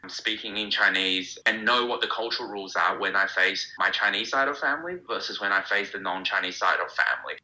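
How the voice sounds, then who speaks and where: Male interviewee, fairly low pitch with a very crisp, forward tone, explanatory. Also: bright, forceful tone, low-quality recording.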